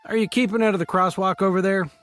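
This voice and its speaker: A man playing an easygoing, gently teasing small-town police officer in a video game, with a casual, dry delivery. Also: sits high, steady tone, fast, soft background music. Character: easygoing male small-town cop, mildly teasing and dismissive.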